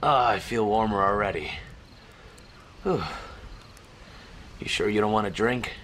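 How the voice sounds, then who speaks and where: A man's voice: lowish voice, unhurried, a bit bright, slight background noise. A man playing a chatty, grumbling, easygoing soldier in a radio drama. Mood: relaxed, chatty. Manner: chatty, complaining.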